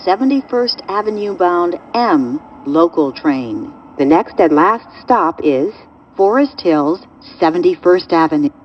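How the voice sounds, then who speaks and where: A woman reading scripted subway train announcements in measured, clearly segmented phrases, heard over the car's speakers. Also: on the low side, animated. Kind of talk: scripted recorded transit announcement. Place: played over speakers inside a subway car. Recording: dull lo-fi capture, loud train noise.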